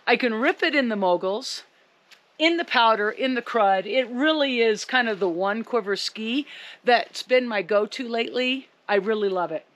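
Loud upbeat woman ski coach, kinda high voice, talking outside in the snow. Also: expressive, normal speed. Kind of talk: outdoor ski instruction to camera. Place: outdoors in snow by a ski rack. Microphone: camera a few meters away.

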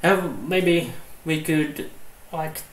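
A man, co-hosting a live stream and talking in a slow, halting way as he searches for words. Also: on the high side, steady tone, distant mic, slight background noise. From Finland. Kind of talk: casual live stream chat. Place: small indoor room, seated on a sofa. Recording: roomy sound.

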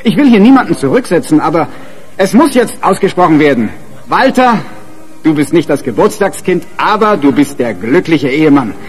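An actor playing a convivial, charming party guest who gives a grandiose, teasingly emphatic toast in a radio drama. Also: male voice, fairly high pitch, sing-song, normal speed, faint music behind. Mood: jovial, declamatory.

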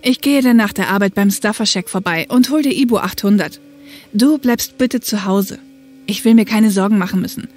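A woman plays a young police helicopter co-pilot in an audio drama. She explains things soberly, like a caring big sister, in a mid-pitched voice with music behind her. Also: normal speed, darkish tone, lightly acted. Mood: concerned, teasing. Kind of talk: German audio drama acting.

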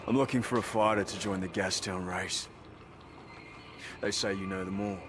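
Man playing a low-voiced, terse wasteland drifter. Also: fairly even intonation, moderate pace, close to an everyday voice. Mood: terse, impatient. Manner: clipped, gruff, no-nonsense. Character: terse, grim lone wasteland drifter, hard and impatient. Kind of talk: video game character acting. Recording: clear noise behind the voice.

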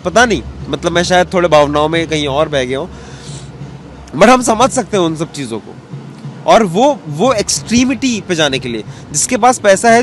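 A man, giving an earnest, reflective interview answer mixed with English words. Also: high voice. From western Uttar Pradesh, India. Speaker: interview guest, cartoonist. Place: outdoor bench in a public square. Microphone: handheld interview mic held toward him. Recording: outdoor street ambience with background noise.

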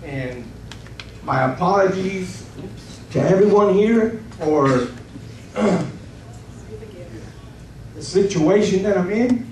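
A man giving a candid, earnest personal testimony to a congregation, speaking haltingly. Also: high-pitched, expressive, a little mellow. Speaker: program member giving testimony. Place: church hall. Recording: echoey room from far off with noise.

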